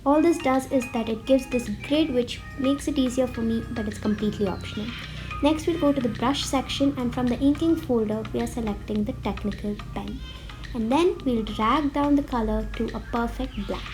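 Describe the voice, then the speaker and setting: A woman with a slightly sick-sounding voice, explaining a drawing tutorial step by step in a friendly, relaxed way. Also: fairly high pitch, normal speed. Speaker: art tutorial host. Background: faint background music.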